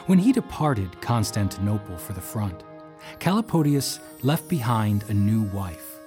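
Measured male manservant narrator voiced by a man, soft music underneath, audio drama. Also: mid-range voice, close to an everyday voice. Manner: measured, even storytelling. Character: male aide and manservant narrating his tale.

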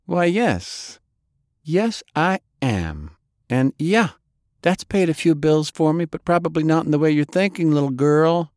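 A man's voice: a little high, expressive, unhurried, a little mellow, no room sound, clean studio sound, pitched above the performer's own voice. A man voicing a glamorous, prickly woman who turns cold and haughty, read as an audiobook character. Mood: teasing.

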